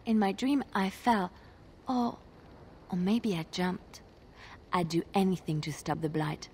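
An actress playing a devout young archer in a fantasy video game, earnest and hopeful, telling things in a hushed, dramatic way. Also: female voice, lowish voice, unhurried, a little hiss or hum, natural voice. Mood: earnest, fervent.

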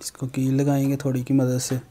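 A young man hosts a how-to tutorial. His mid-pitched voice stays very even in tone as he explains each step plainly, like an instructor. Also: fairly quick. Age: young adult. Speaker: how-to video host.

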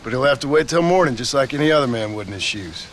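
Gruff cowboy dad in a western game, rural american drawl, plain spoken, faint noise behind. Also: male voice, mid-range voice, animated, fast talker, a bit bright. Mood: warm, easygoing. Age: middle-aged. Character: gruff, protective frontier rancher father. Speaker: video game character actor. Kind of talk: cinematic game dialogue.

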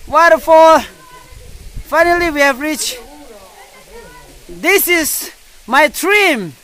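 A young man from India vlogging on a phone held at arm's length while hiking a forest trail to a waterfall, tired and thirsty, with excited exclamations and halting simple phrases. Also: extremely high pitch, poor audio. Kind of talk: casual vlog narration while hiking.

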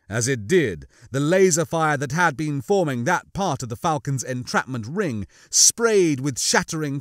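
A man's voice: mid-range voice, no echo, studio quality. A man giving a dramatic scripted narration of a science fiction audiobook.

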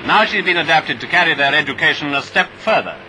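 A man's voice: fairly high pitch, animated, fast talker, pushed and bright, a little hiss or hum, low-quality recording. A British man narrating a scripted 1940s wartime training film in a jaunty, wry, clipped manner, on a vintage soundtrack.

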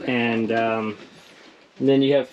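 A man's voice: medium pitch, little pitch movement, takes it slowly, dull audio, a crowd in the background. Chatty, enthusiastic man hosting a boat tour. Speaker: host. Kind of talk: casual interview chat on a boat tour. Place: sailboat, on open deck and in the wooden cabin. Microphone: off camera, behind a handheld camera.

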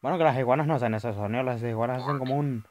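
A young man with a Chilean accent commentating a horror game, yelling at jump scares and rambling between them. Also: mid-range voice, racing, no echo. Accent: Chilean Spanish. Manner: jumpy, shouting at scares, rambling asides. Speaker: gaming youtuber. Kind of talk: let's play commentary.

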